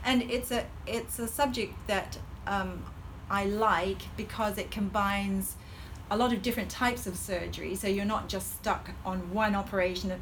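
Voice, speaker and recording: A woman's voice: medium pitch, normal speed, few pauses, a little hiss or hum, poor audio. A middle-aged woman surgeon with a Hong Kong colonial English accent, talking as a podcast guest with many ums and frequent laughter. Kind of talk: podcast interview.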